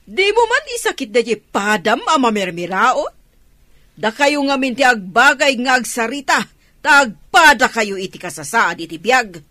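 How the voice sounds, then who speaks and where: A woman's voice: fairly high pitch, very animated, a little slow, pushed and bright, no room sound, studio quality, exaggerated voice. A woman with a Filipino accent playing a haughty, scornful rich mother who snaps insults in a radio drama. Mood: indignant. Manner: scornful, snapping.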